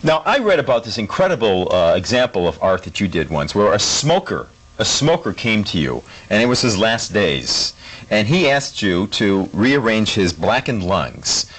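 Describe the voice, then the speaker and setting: A man's voice: on the low side, sing-song, moderate pace, a bit bright. A man playing a straight-faced, curious TV interviewer in a mock interview, earnest and deferential, with hesitant phrasing. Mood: earnest, impressed. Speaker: talk show host.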